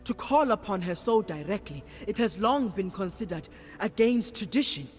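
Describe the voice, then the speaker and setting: An actress playing a solemn, devout serpent priestess in a game cutscene, speaking in a measured, ceremonial manner. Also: female voice, medium pitch, lively intonation, close to an everyday voice. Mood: resolute. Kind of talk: dramatic game cutscene acting. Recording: reverberant hall with faint music behind.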